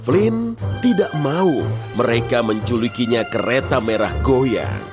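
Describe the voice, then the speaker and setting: Brief: male narrator for kids' train cartoon, expressive, unhurried, wide lively pitch, strong music bed. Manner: expressive storytelling. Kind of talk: scripted children's story narration.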